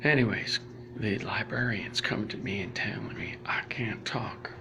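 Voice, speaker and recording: A man's voice: on the low side, measured pace, vocal fry, whispered. A man rambling through a live stream from inside a public library, full of restarts and asides. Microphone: phone or laptop camera.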